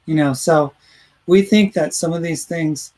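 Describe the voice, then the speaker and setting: A man's voice: a little high, measured pace, a little mellow. A man hosting a talk radio show in casual chat, rambling through his points and reading listener comments aloud. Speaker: host.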